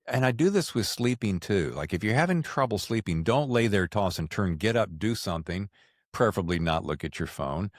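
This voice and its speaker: Low-pitched man hosting a podcast. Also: animated, brisk, no room sound, studio quality. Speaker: podcast host. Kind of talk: casual interview chat.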